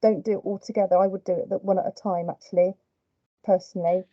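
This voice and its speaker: A young woman gently pitches ideas in long, hedging runs. Her voice is deep-toned and mellow. The sound is dry and dull, like a muffled call. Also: steady tone, quick pace, no room sound. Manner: offers suggestions in long, hedging stretches. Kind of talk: casual planning call.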